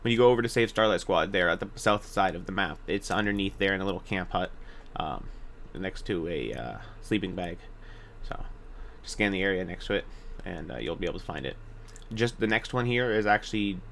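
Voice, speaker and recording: A man's voice: lowish voice, vocal fry, a bit bright, a little hiss or hum. A man narrating a video game walkthrough in a casual, informal way, hesitating and correcting himself as he gives directions. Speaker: gaming video guide narrator.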